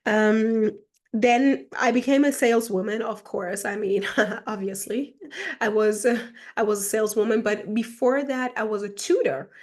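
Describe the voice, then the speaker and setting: A woman's voice: on the high side, fairly even intonation, normal speed, darkish tone. A woman from Germany talking as a personal mentor in a casual vlog monologue, chatty and reflective, with lots of "like" fillers. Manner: chatty, reflective, fills speech with "like".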